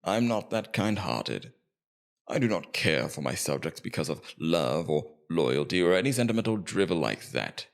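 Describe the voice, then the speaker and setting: A man playing a scheming, immortal Demon King in an ASMR roleplay, taunting and persuasive, with theatrical, deliberate emphasis. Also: deep voice, sing-song, vocal fry, heavily acted. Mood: cold, persuasive. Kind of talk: ASMR roleplay, scripted villain monologue.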